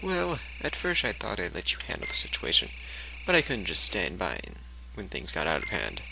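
A man's voice: low voice, huge pitch swings, normal speed, gravelly, background noise, pitched below the performer's own voice, exaggerated voice. A man voicing a cocky, boyish lad from a video game in a casual, confident manner. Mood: cocky, wistful.

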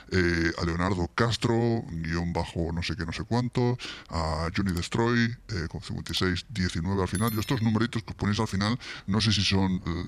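A man in his forties with a European Spanish accent, chatting informally and jokily to camera through a voice modulator, close on the microphone. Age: 40s. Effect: voice modulator deepening the voice. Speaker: YouTube host, football fan channel. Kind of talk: casual chat to camera. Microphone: close vintage-style studio microphone.

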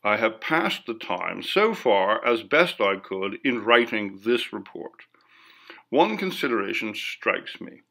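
A man reading a ghost story as scripted narration, in a measured, formal, dramatic first-person delivery. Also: fairly low pitch, sing-song, vocal fry, pushed and bright. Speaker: audiobook reader.

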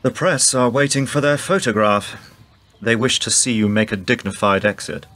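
A man playing a cold, controlling gentleman in a radio play, speaking formally and commandingly. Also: medium pitch, normal speed, slight background noise, lightly acted. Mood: cold, insistent.

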